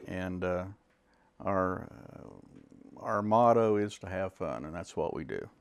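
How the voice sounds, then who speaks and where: Brief: low, gravelly man, slow and measured, listing things with pauses. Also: animated. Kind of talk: casual interview.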